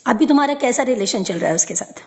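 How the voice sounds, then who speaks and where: A woman's voice: fairly high pitch, expressive, fast, warm tone. A woman in a heated personal conversation on a recorded phone call, speaking insistently and repeating herself to take the floor.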